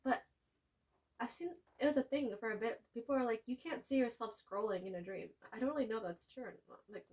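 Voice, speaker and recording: A young woman co-hosting a podcast, telling stories in animated casual chat. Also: on the high side, brisk. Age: young adult. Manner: animated storytelling with frequent laughs. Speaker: podcast co-host.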